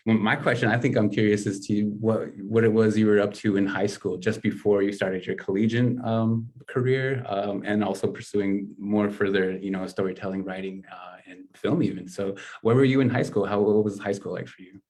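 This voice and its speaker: Low, monotone male host; earnest and measured with lots of "uh". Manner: measured, earnest, many "uh" fillers. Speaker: podcast host.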